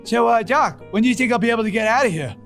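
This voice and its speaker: A very high, whiny cartoon crewmate, self-absorbed and theatrical. He rambles like a storyteller, with faint music behind him. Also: expressive, very fast, creaky, fairly bright tone, heavily acted. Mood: earnest, worried. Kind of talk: cartoon voice acting.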